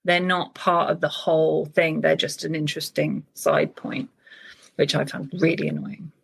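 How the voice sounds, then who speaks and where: A woman's voice: low-pitched, flat delivery, normal speed, vocal fry, husky. A woman novelist talking about her writing in a casual interview, thoughtful and full of small restarts. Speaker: novelist guest.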